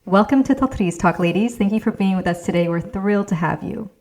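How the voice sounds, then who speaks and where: A woman hosting a podcast, with a medium-pitched voice, reads the episode introduction. Her delivery is smooth and scripted. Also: brisk, darkish tone. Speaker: podcast host. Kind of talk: reading a show introduction.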